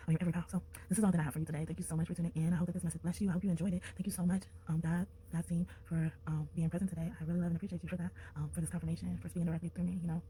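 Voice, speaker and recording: Rapid-fire, dark-voiced woman tarot reader. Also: fairly low pitch, little pitch movement, husky, low-quality recording. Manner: chatty and loose, frequent laughs and filler words. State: slightly congested from a sinus infection. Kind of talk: casual pick-a-card tarot reading. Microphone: close to a desk microphone.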